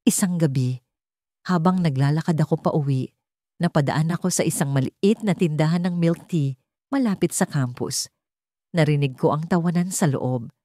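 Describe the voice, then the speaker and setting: A woman's voice: low voice, moderate pace, warm tone, no echo, studio quality. A woman narrating a scripted first-person story as a storyteller.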